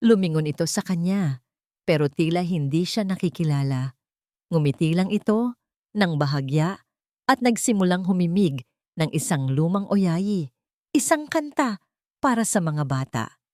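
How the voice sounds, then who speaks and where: Female storyteller for audio drama, low and lively, dry booth sound. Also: dry recording. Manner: measured, dramatic pacing with expressive rises. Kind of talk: scripted dramatic narration.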